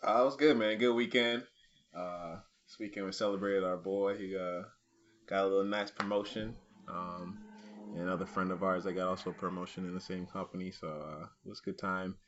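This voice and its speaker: Deep-voiced easygoing podcast co-host. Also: male voice, steady tone, a little fast, fairly bright tone, muffled recording. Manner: easygoing, laughs often, filler-heavy. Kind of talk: casual chat.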